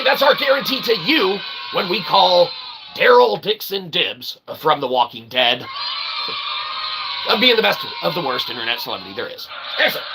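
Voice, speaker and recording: A man with a slightly high voice chats over clearly noisy ambience. He rambles casually like a livestream host, poking fun at himself and prone to loud, excitable exclamations. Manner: refers to himself as "we"; self-deprecating.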